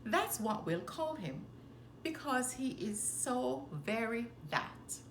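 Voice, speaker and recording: A woman playing a gentle, simple, kindly male teddy bear in a children's storybook, earnest and mild. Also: on the high side, sing-song, takes it slowly, reverberant, a little hiss or hum, exaggerated voice.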